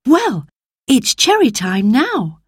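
A woman's voice: fairly high pitch, huge pitch swings, takes it slowly, breathy edge, no room sound, studio quality, pitched above the performer's own voice, heavily acted. A woman reading aloud and voicing a sensible eldest girl in a storybook, with an earnest manner. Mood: cheerful.

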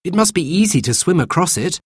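A narrator voicing a calm, sensible girl in a storybook, speaking in a measured, reassuring way. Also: medium pitch, slightly rough, no echo, studio quality, voice pushed up.

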